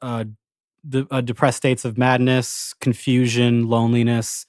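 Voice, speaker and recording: A man's voice: medium pitch, flat delivery, a little slow, darkish tone, dry recording, clean studio sound. A man co-hosting a podcast in casual chat, blunt, opinionated and sweary. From English-speaking country. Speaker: podcast co-host.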